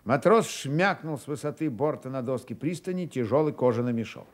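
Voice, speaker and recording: Very animated male radio narrator. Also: mid-range voice, fairly quick, slight background noise. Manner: expressive, theatrical storytelling. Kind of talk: dramatized literary narration for radio.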